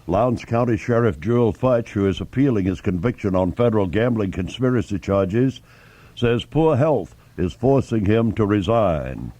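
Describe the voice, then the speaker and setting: Mellow, dry-voiced man reading local radio news. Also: mid-range voice, no room sound. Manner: measured, matter-of-fact delivery. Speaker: local newscaster. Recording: 1970s radio aircheck.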